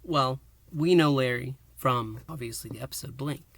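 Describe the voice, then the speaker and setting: A man hosting a fan review and recap, speaking in short, halting phrases with theatrical emphasis. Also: medium pitch, fairly bright tone, no room sound. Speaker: host of a fan review show. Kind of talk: review and recap to camera, semi-dramatic storytelling.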